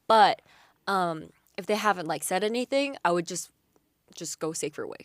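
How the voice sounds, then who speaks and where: A young woman hosting a campus radio show, giving friendly, unhurried advice with frequent "um" pauses, at a desk mic in a small studio. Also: on the low side, animated, dry recording. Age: young adult. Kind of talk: casual advice chat. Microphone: desk mic on a stand.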